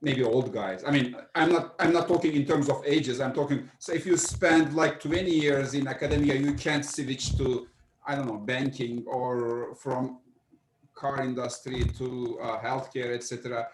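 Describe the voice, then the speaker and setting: Panelist: man, explanatory and hesitant, mid pitch, echoey room on a video call. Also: fairly even intonation, moderate pace, a little hiss or hum. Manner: explanatory, hesitant, many uhs. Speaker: economics panelist. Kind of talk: online seminar discussion.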